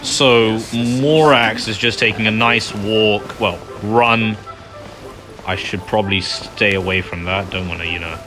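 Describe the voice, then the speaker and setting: A man's voice: lowish voice, measured pace. A man casually commenting over a game playthrough in a rambling, offhand way, often correcting himself. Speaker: gameplay commentator. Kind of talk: casual let's-play commentary. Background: game music.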